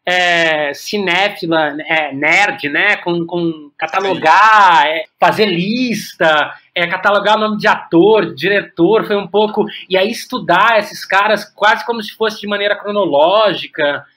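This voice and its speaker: A Brazilian man with a notably high voice and a bright, forward tone. He talks conversationally, like a guest reflecting in an interview. His phrasing is halting, with repeated words as he thinks aloud. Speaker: podcast guest, playwright and director.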